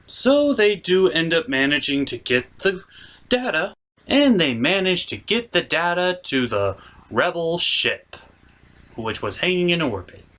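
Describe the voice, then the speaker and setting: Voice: mid-range voice, big pitch swings, unhurried, fairly bright tone. A reviewer delivering a scripted comedic review in a sardonic, emphatic way, as the host of the show.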